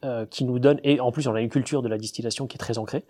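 A man's voice: mid-range voice, steady tone, quick pace, mellow. A man, a distiller, talking in a casual interview, explaining with enthusiasm but with many fillers and restarts. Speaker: distiller, guest.

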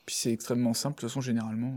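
A man hosting a relaxed interview, asking questions casually with frequent quick affirmations. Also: medium pitch.

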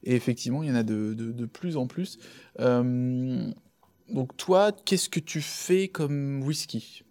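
A man of middle pitch leads a relaxed interview. He speaks informally and often nods along with a quick "ouais" or "d'accord" between his questions. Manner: friendly, informal, many short acknowledgements. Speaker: interviewer, host. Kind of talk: casual interview talk.

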